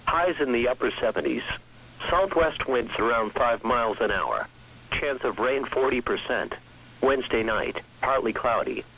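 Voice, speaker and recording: A man's voice: medium pitch, lively intonation, gravelly. Dull lo-fi synthetic male weather announcer. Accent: American. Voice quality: synthetic text-to-speech voice. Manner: measured, impersonal. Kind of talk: scripted weather bulletin reading. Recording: dull lo-fi weather radio broadcast.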